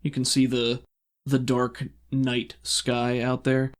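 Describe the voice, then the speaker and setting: A man's voice: medium pitch, completely flat delivery, takes it slowly. Man narrating a tabletop game. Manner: descriptive, improvised, hesitant searching for words. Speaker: game master.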